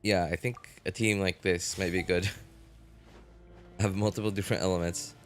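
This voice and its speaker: A man's voice: sits low, fairly even intonation, normal speed. A man doing casual gameplay commentary on a livestream, musing aloud and chatting informally to viewers. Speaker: game livestreamer. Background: game music.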